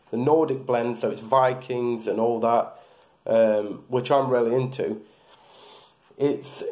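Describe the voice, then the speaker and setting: A man's voice: medium pitch, mellow. A man in his thirties casually reviewing beard products, rambling with lots of fillers and restarts, filmed close in a small room.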